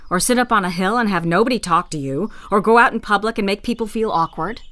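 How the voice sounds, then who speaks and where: A woman's voice: mid-range voice, fast, bright, forceful tone, lightly acted. A woman playing a blunt, ambitious young widowed painter of the 1860s in a naturalistic audio drama. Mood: blunt, bossy. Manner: blunt, clipped, self-absorbed.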